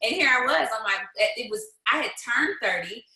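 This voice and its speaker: A woman's voice: on the high side, expressive, normal speed, pushed and bright, echoey room, rough recording. A woman in her thirties telling stories as a guest, bold and emphatic, laughing often. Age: 30s. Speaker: guest, business leader. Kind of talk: casual storytelling, motivational.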